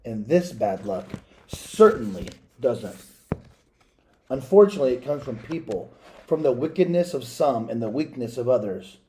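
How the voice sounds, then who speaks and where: A man's voice: medium pitch, lively intonation, moderate pace, dark tone, slight background noise, lightly acted, poor audio. Man reading as a grave, caring storybook mother. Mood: grave, forceful.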